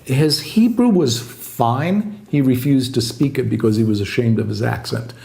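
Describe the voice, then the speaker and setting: Middle-aged guy with a gravelly dark voice, chatting back through old memories in an interview, lots of yeahs and ums. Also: medium pitch, normal speed. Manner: relaxed, anecdotal, frequent fillers and repeated yeahs. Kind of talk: conversational interview, reminiscing.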